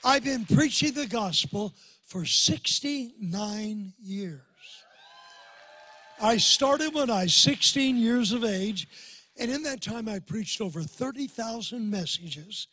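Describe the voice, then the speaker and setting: An eighty-five-year-old man with an old, hoarse voice, preaching to a congregation as a guest pastor in a folksy way and calling for amens. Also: high voice, expressive, slow pace, pushed and bright, low-quality recording. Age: 80s. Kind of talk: sermon with personal stories. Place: church sanctuary before a congregation.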